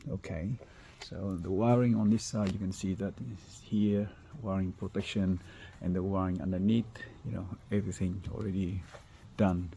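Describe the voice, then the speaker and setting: A man with a deep, mellow voice talks through a workshop job for a video. His English is non-native and comes haltingly, in an informal explaining style. Light noise sits behind him. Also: steady tone, vocal fry. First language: not English. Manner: halting, hesitant phrasing. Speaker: car installer presenting finished work.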